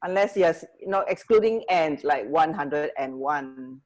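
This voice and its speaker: A woman with a slightly low voice co-hosts a casual radio show. She speaks in a hesitant, conversational way, often stopping to rephrase what she means. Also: lively intonation. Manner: hesitant, halting, self-correcting. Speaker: radio co-host. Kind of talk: casual chat.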